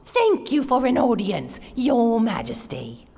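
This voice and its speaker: An actress playing a mysterious, deferential fortune teller in an audio drama. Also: female voice, on the high side, wildly swooping pitch, slow, vocal fry, warm tone, full character voice. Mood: mysterious deference. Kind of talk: theatrical audio drama acting. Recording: long hall reverb.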